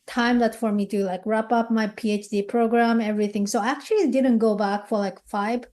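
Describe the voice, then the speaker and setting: Japanese-accented woman researcher, slightly high voice. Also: steady tone, brisk, warm tone. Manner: many "like, you know" fillers, laughs often. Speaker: guest researcher. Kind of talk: interview conversation.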